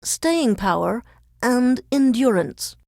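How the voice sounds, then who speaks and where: A wry newswoman stuck working as a game radio DJ. Her voice sits fairly high and moves with lively inflection, yet she keeps a formal, deadpan newsreader delivery. The sound is close and dry. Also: slow pace, creaky, dry recording, full character voice. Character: wry woman news reporter reduced to radio DJ. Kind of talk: scripted radio DJ announcing.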